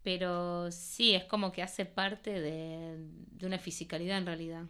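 A woman's voice: fairly low pitch, flat delivery, a little slow, a bit bright, smooth. A woman with an Argentine accent, an artist being interviewed, talking in a playful, ironic way and laughing often. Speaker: artist guest. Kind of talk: casual interview talk.

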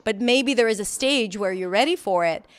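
A woman whose first language is Hebrew speaks in a smooth, clear voice, with her pitch sitting in the middle of the usual range. She explains her teaching method earnestly, in a conversational interview, and restarts her phrases now and then. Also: moderate pace. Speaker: pronunciation teacher.

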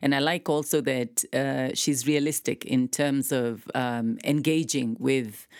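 A woman hosting a conversational interview in an encouraging, measured way. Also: very deep voice, fairly even intonation, a little mellow, no room sound, clean studio sound. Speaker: podcast host and interviewer.

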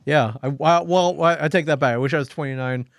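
A man in his late forties co-hosting a casual podcast chat, easygoing and quick to laugh. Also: fairly high pitch, no room sound, studio quality. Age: 40s. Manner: easygoing, laughs often, short agreeing replies. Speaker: podcast co-host.

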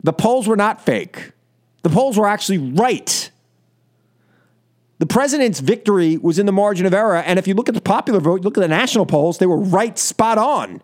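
A high-voiced American man holds forth as a political talk radio host. His pitch leaps around as he drives points home, repeating phrases with combative emphasis. The delivery is an opinionated call-in show monologue. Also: expressive, normal speed.

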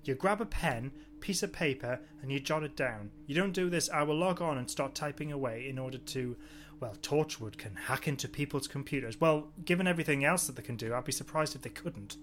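A man's voice: mid-range voice, fairly quick. A man chatting casually on a podcast, complaining sarcastically about a TV episode. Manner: exasperated, sarcastic, animated complaining.

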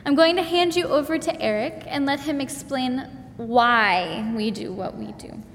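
A young woman from Kansas, a student intern, reading a prepared church announcement in a clear, bright way. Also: sits high, measured pace. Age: young adult. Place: church sanctuary. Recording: reverberant hall, faint noise.

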